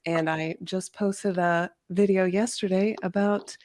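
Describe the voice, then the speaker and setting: A woman's voice: fairly low pitch, steady tone, unhurried, a little mellow. A woman guest chatting casually on a livestream, informal and peppering her sentences with "like". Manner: informal, self-deprecating, peppered with "like". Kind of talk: casual chat.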